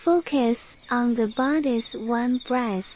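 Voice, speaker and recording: A woman leads a guided sleep meditation at an extremely slow pace, leaving long pauses between short phrases. Her voice sits fairly high. The recording is slightly muffled, with crickets, birds and some noise behind her.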